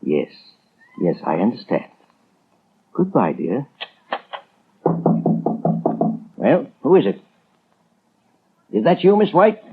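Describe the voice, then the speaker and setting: An actor playing a shifty, irritable professor in a 1930s radio drama, hesitant, evasive and testy. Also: male voice, mid-range voice, wildly swooping pitch, measured pace, husky, exaggerated voice. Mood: guarded. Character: shifty, irritable professor husband hiding something. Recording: dull, hissy 1930s radio recording.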